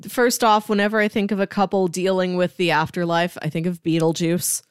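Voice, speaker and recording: A woman's voice: lowish voice, level tone, a little fast, clear, resonant tone, no echo, studio quality. A woman co-hosting a casual review discussion, thinking aloud in a conversational, hedging way. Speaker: podcast co-host reviewing comics.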